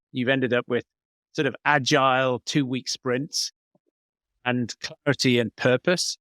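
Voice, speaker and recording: A man's voice: medium pitch, a bit bright, no echo. A man giving explanatory, conversational answers as a business coach in an interview, working step by step through examples. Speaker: business coach, podcast guest.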